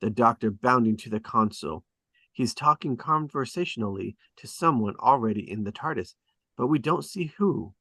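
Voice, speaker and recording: A man's voice: medium pitch, animated, moderate pace, pushed and bright, slightly rough, no room sound. A man reading stage directions at a drama table read, with emphatic, clipped and dramatic phrasing.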